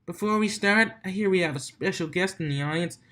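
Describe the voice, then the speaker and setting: A cocky mare of a club DJ from a pony storybook, acted out by a man. The voice is pushed well up high. The delivery is boisterous and slangy, that of a born show-off working a crowd. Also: brisk, a bit bright. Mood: hyped showmanship. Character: brash, cocky female pony DJ hyping a crowd.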